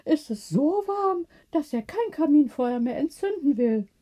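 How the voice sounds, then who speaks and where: Female narrator as elderly neighbour lady: lively, assured, knowing, pitched well up, bedtime audiobook. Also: measured pace. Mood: amused. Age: older. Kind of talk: acted audiobook dialogue.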